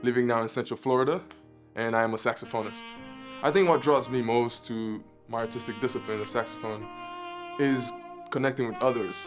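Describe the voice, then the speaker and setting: A man from Haiti, a saxophonist, talking earnestly about his music in a recorded interview. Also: mid-range voice. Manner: earnest, reflective, repeats phrases for emphasis. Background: guitar music.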